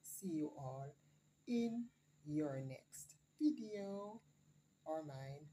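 A Jamaican woman vlogging her weekend grocery haul at home, calmly listing each item one by one into a phone held off camera. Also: low voice, slight background noise, rough recording. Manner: listing items one by one, unhurried. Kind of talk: casual grocery haul narration.

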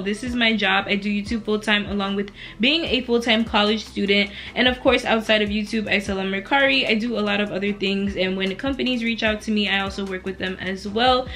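A woman in her early twenties chatting casually through a Q&A vlog while lying back on her bed at home, relaxed and laughing often between answers. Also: medium pitch, fairly quick, few pauses. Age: 20s. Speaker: beauty and lifestyle YouTuber.

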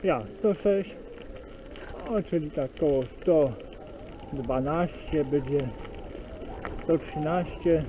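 A man with a Silesian accent chatting casually and slowly while cycling outdoors on country roads, recorded on a bike camera. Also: a little high, background noise. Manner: slow, rambling, relaxed chat with pauses. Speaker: cycling vlogger. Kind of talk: casual spoken commentary while riding. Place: outdoors on a bike on rural roads and forest tracks.

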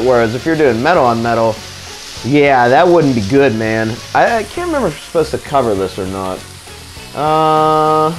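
A young man talks his viewers through a stir-fry in a home kitchen, loose and slangy, like he's chatting with a friend. His voice sits at an ordinary middle pitch. Faint music plays under him, and a pan sizzles. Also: low-quality recording. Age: young adult. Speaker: vlogger. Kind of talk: casual cooking vlog.

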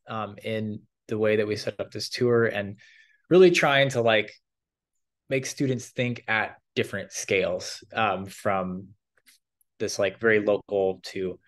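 A man co-presenting an academic talk on a video call, casual, with "like" and "um" as fillers. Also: lowish voice.

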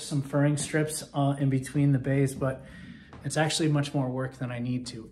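A man's voice: mid-range voice, darkish tone. A man in his thirties or forties casually and methodically explaining a DIY project to camera inside a large timber barn. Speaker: DIY woodworker presenting a project video. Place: large empty timber barn loft, indoors. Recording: roomy echo, faint background noise and clicks.

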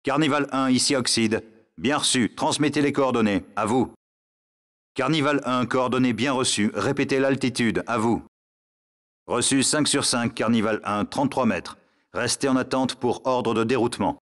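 An actor voicing a stern male command operator in a video game, formal and measured over the radio. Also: mid-range voice, a bit bright. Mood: stern, businesslike. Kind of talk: scripted military radio chatter.

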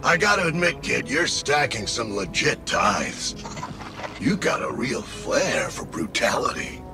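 Video game ghost villain's sidekick: wisecracking, self-serving, slow and swaggering, creaky edge. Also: male voice, mid-range voice, big pitch swings. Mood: mocking. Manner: sardonic, slangy, swaggering. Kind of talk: video game character dialogue. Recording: loud music behind the voice. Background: game music.

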